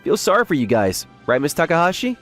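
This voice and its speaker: A man's voice: fairly high pitch, very animated, fast, a bit bright, faint music behind. A man playing an earnest, bashful young office worker who also narrates a manga romantic comedy dub. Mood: confident, accusing. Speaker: narrator and lead character. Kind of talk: manga dub acting with first-person narration.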